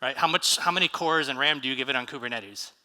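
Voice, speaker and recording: A man's voice: medium pitch, quick pace, bright, forceful tone. An American man giving a casual conference talk on stage, asking the audience for shows of hands. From United States. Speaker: conference speaker. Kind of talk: live tech conference talk. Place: large conference hall stage. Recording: reverberant hall.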